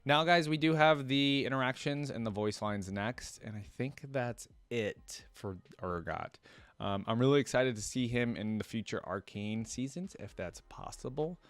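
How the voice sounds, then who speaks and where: A man's voice: mid-range voice, moderate pace, fairly bright tone, no echo. A man hosting a reaction video in a casual, curious way, thinking aloud as he reads and comments.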